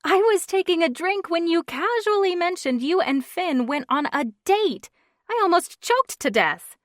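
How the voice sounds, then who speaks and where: A woman voicing an excitable young woman friend chatting on the phone, gushing and teasing, in a dry, close reading. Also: very high-pitched, measured pace, no room sound, voice pushed up. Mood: excited.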